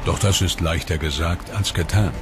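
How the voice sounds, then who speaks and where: Man, very low voice, documentary voice-over, measured dramatic narration, music underneath.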